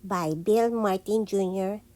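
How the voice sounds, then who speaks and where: A woman reading children's picture books aloud as a teacher, in a deliberate, sing-song manner. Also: mid-range voice, a little mellow.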